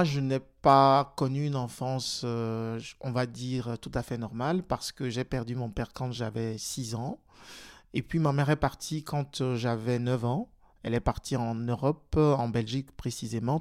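A man's voice: mid-range voice, darkish tone. A man from Togo, speaking as an interview guest, hesitant and full of fillers and restarts. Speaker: guest, politician and teacher. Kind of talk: casual interview talk.

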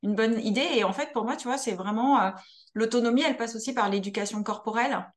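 A woman's voice: a little high, quick pace. Reflective woman hosting a talk podcast. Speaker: podcast host. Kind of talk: conversational interview.

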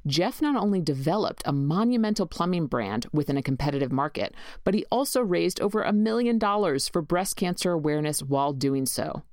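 A woman's voice: sits low, animated, fairly quick, a little mellow, dry recording, clean studio sound. A woman hosting an interview podcast, introducing her guest and asking questions in an upbeat, eager way. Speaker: podcast host.

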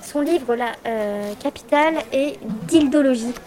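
A woman's voice: high-pitched, slow, a little mellow. A woman playing a scatterbrained, cheeky Mother Christmas who hesitates as she talks, in a comic audio drama. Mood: muddled, cheeky.

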